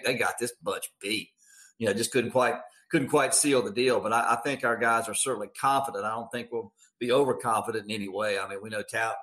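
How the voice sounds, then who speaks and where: Bright-voiced American man talking football. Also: mid-range voice. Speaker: radio play-by-play sports announcer. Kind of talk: conversational sports interview.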